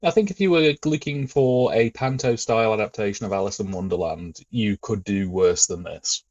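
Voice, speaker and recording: A man co-hosting a podcast, casually discussing and explaining his opinions in a measured, careful way. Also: lowish voice, darkish tone, no echo, lo-fi sound. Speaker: podcast co-host.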